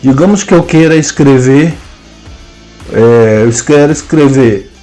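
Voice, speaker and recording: A man with a Brazilian Portuguese accent teaching a programming lesson, dictating code symbol by symbol with hesitant pauses. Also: mid-range voice, warm tone, soft background music. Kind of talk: slow screen-cast coding lesson, dictating code aloud.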